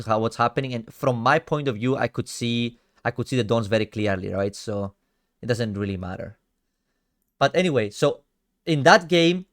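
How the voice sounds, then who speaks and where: A young man from Cyprus with a Greek Cypriot accent, casually commenting on his own card game matches and chatting with viewers on a stream. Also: medium pitch. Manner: chatty, slangy, talks to chat, often drops to a mutter. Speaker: streamer reviewing card games.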